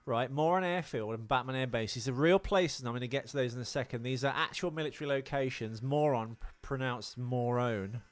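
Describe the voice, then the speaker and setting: A man co-hosting a podcast in casual comic chat, rambling through anecdotes in a crude, jokey, halting way. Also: mid-range voice, dry recording. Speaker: podcast co-host.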